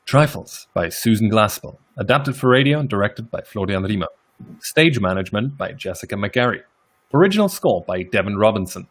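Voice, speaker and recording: A man's voice: fairly low pitch, animated, fairly quick. A man reading scripted closing credits as the announcer of a radio play.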